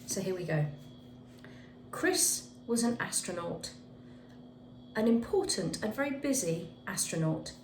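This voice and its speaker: A woman's voice: mid-range voice, lively intonation, a little slow. A woman reading a children's picture book aloud expressively, with dramatic emphasis on key words. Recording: echoey room with faint background noise.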